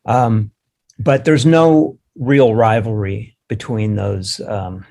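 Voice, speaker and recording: A man's voice: lowish voice, takes it slowly, vocal fry. Laid-back guy hosting, kinda hesitant, cracks dry jokes. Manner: relaxed, hesitant, wry joking. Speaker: host. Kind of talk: casual interview hosting.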